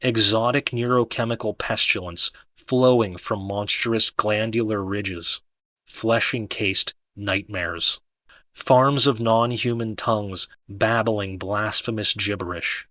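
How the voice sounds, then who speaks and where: A man narrating an audiobook dramatically, in a solemn, deliberate, declamatory manner. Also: fairly low pitch, sharp tone, dry recording.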